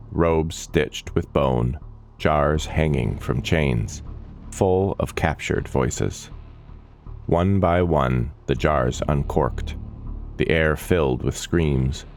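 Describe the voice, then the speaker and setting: A man narrating a scripted dark fantasy story in a measured, solemn and dramatic manner. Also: a bass voice, vocal fry. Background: background music.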